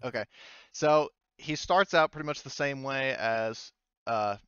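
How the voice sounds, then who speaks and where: A man narrating a tabletop game as game master, talking casually and haltingly with some swearing. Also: mid-range voice, a bit bright, clean tone, no room sound, highs cut off.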